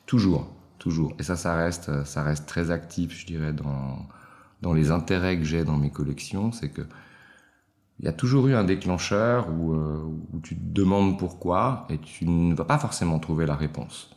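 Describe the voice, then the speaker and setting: A man in his forties with a very deep, low-pitched voice. He talks as an interview guest, reflective and unhurried, pausing to find the right word. His tone stays conversational and thoughtful. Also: a little mellow. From Switzerland. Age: 40s. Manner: reflective, searching for words. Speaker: guest, watch collector.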